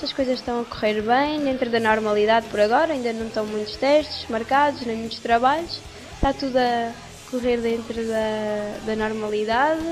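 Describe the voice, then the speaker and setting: A woman's voice: sits high, low-quality recording. A young adult woman with a Portuguese accent, a university student giving a spontaneous vox pop answer.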